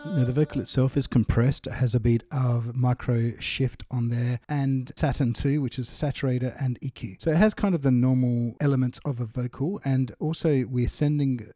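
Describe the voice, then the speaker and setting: A man giving a casual, explanatory software tutorial on music production. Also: mid-range voice, fairly even intonation, moderate pace, few pauses, no room sound. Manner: explanatory, informal.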